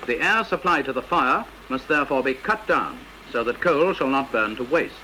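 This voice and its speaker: A British man reading a scripted instructional narration for a vintage training film, in a precise, measured, didactic manner. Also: mid-range voice, animated, pushed and bright, slight background noise, low-quality recording.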